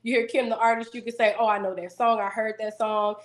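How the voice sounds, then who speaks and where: Woman guest, deeper-voiced, steady and businesslike in casual interview talk. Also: quick pace. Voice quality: deeper singing voice. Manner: self-assured, businesslike, steady. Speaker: singer-songwriter guest.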